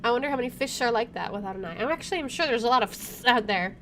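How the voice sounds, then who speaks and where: A woman doing let's play commentary, reading game text aloud in an expressive, dramatic way. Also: fairly high pitch, racing. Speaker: video game streamer. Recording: background noise and game ambience.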